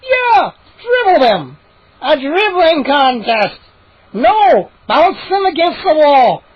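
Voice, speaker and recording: Woman doing shrill gleeful gnomes. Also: big pitch swings, takes it slowly, no room sound, lo-fi sound, heavily acted. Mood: gleeful chanting. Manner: shrill giggly chanting. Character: gleeful mischievous male gnome crowd.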